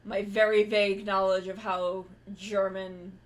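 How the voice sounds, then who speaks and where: A woman's voice: mid-range voice, level tone, a little slow. A woman streamer chatting casually while she draws, rambling and correcting herself mid-sentence, with the odd swear. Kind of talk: casual chat while drawing.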